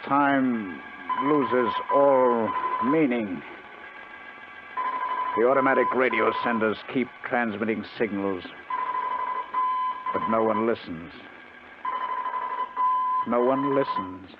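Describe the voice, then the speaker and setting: An actor playing a weary, despairing castaway, brooding aloud in 1950s radio drama style. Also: male voice, mid-range voice, dull audio, lightly acted. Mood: bleak, dazed. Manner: slow, brooding, haunted monologue. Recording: very noisy, thin 1950s radio recording.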